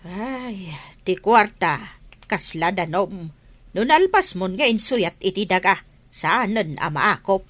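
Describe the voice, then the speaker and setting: Stern, animated scolding matriarch. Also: female voice, medium pitch, slow pace. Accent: Filipino, Ilocano. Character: stern, scolding elder mother, unyielding. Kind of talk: radio drama acting.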